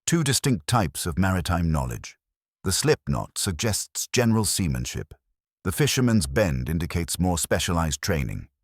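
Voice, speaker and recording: A man reading a scripted mystery story as a narrator, in a measured, literary manner. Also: low voice, sing-song, no room sound, studio quality. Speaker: audiobook narrator.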